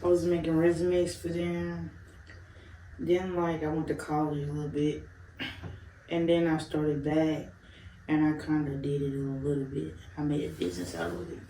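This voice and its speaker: A woman's voice: low voice, steady tone, moderate pace, warm tone, recorded from a distance, slight background noise. Candid young woman from Chicago, roomy. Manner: candid, laughs often, swears casually. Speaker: interview guest. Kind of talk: casual interview chat. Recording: roomy, off-mic, faint ambience.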